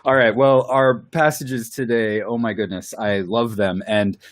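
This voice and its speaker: A man's voice: mid-range voice, steady tone, measured pace, dry recording. A man with a cold, co-hosting a Bible study in casual, enthusiastic chat. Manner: enthusiastic, with filler words and laughs. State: has a cold.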